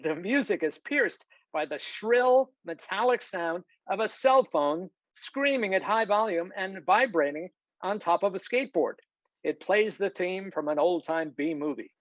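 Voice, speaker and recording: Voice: mid-range voice, lively intonation, unhurried, dry recording. A narrator reading scripted stage directions for a screenplay table read over a video call. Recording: dull, lo-fi video call audio.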